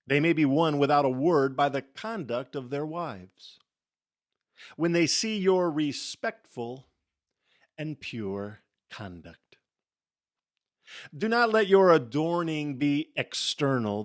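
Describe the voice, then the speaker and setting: A man giving a dramatic scripture reading in a solemn, emphatic way, with deliberate pauses and phrases that fall away softly. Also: a little high, dry recording, clean studio sound. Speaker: scripture reader.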